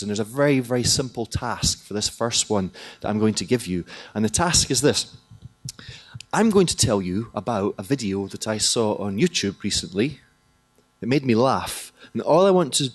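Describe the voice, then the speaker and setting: A man giving a lively, jokey talk at a conference hall, often asking the audience questions. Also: medium pitch. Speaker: conference presenter, teacher trainer.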